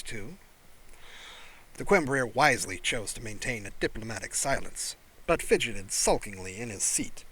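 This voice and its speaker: Male audiobook narrator, bright forward tone, mid-pitched, scripted fiction read. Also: low-quality recording. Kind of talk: scripted story narration with character dialogue.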